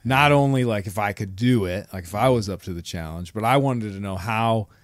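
A man's voice: lowish voice, a little fast, no room sound. A man hosting a podcast, friendly and reflective, laughing easily as he chats. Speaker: podcast host, sailor. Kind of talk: casual interview chat.

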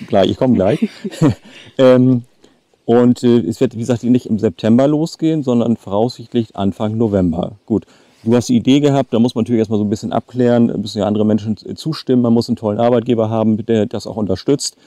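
Male travel vlogger, late 50s, casual delivery, very dark soft-edged tone, dry outdoor sound. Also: medium pitch, fairly quick, dry recording. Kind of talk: casual chat to camera. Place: outdoors by a lake.

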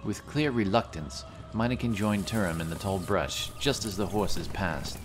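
A man narrating a scripted fantasy audiobook in a measured, dramatic way. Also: on the low side.

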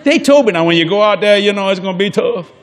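A man's voice: high voice, lively intonation, fast talker, a faint crowd. An older man preaching a sermon on a large church stage before a congregation, folksy and jokey, acting out quoted people in different voices.

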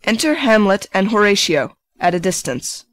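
A woman's voice: mid-range voice, sing-song, normal speed. A woman reading stage directions as a narrator, in scripted audiobook style.

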